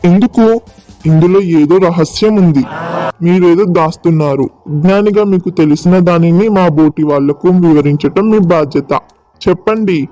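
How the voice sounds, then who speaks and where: A man voices a stubborn storybook sage or king, formal and declamatory. The voice sits high for a man yet is soft-edged and mellow. The sound is slightly muffled, over faint music. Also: unhurried. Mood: demanding. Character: shrewd, stubborn old guru and a gullible, demanding king. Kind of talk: dramatised children's folk tale.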